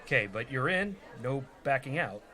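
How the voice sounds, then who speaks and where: A man's voice: medium pitch, expressive, normal speed, sharp tone. A man playing a young rogue and reluctant party leader in a comedy radio play, casual and persuasive. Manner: casual, bargaining.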